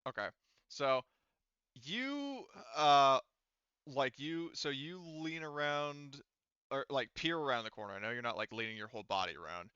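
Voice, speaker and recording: Bright, clear-voiced male game master. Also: medium pitch, animated, no echo, lo-fi sound. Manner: halting, self-correcting explanations. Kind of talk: running a tabletop roleplaying game, casual.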